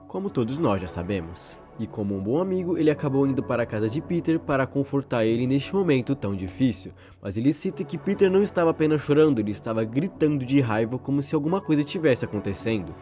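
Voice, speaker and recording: Fast-talking Brazilian man narrating over music. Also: mid-range voice, expressive, a little mellow. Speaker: YouTube channel host and narrator. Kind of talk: informal video narration retelling and commenting on horror stories. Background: background music.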